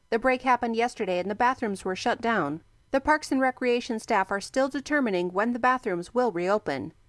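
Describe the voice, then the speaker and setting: Higher-pitched female radio newsreader. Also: no echo, clean studio sound. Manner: brisk, even, formal delivery. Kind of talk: scripted radio news bulletin. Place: radio news desk.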